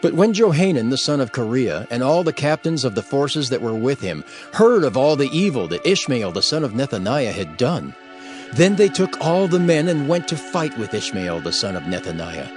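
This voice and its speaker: A man's voice: medium pitch, big pitch swings, moderate pace. A man narrating scripture from a script in a formal, solemn storytelling manner. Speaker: audio Bible narrator. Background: faint music.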